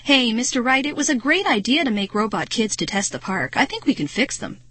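A woman's voice: a little high, big pitch swings, fairly quick, bright, forceful tone, breathy edge, no room sound, pitched above the performer's own voice, exaggerated voice. A woman voicing a practical, concerned male studio engineer in an audiobook, direct and questioning.

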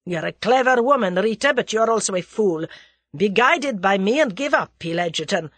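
Woman voicing a snarling, husky Russian male conspirator. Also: lowish voice, lively intonation, normal speed, dry recording. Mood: angry menace. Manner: snarling, forceful, exasperated delivery. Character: bitter, agitated, menacing adult Russian male conspirator. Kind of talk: dramatised audiobook character dialogue.

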